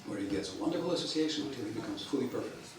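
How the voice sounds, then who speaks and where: Man preaching in english, not his first language, clears his throat a lot, very echoey hall, crowd around. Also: mid-range voice, expressive. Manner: halting, with frequent throat clearing and fillers. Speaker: visiting preacher. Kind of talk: devotional lecture. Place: temple hall. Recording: echoey temple hall, mic at a distance, audience heard.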